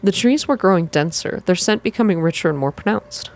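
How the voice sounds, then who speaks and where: A woman reading a story aloud in an ASMR style, with expressive, deliberate first-person narration. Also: fairly low pitch. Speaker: reader of a visual novel. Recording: soft music behind the voice. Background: background music.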